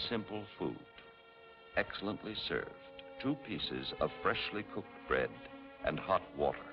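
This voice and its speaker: Casting: weary captive thinking aloud, deep and unhurried, dryly bitter, vintage film voice-over. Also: male voice, exaggerated voice. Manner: deliberate, bitterly ironic. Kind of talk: 1950s film inner monologue. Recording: dull old film track under loud music. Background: film score.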